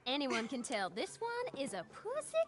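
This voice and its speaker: A teasing saloon girl in a Western game. Her voice is high and swoops playfully as she coos. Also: wildly swooping pitch, moderate pace. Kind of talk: video game dramatic acting.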